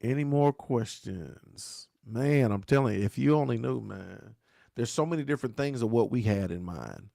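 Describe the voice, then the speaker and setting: A pastor who talks to viewers in a preachy, repeating way and asks "right?" again and again. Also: male voice, medium pitch, expressive, normal speed, creaky, dark tone, dry recording, clean studio sound. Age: 40s. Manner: dialect grammar, says "y'all". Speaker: pastor hosting a grief talk show. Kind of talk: live-stream talk answering viewer questions.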